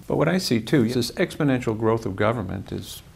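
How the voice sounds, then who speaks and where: A man in a television discussion, speaking in a reflective, deliberate way. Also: mid-range voice, expressive, vocal fry, a little hiss or hum. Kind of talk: TV panel discussion.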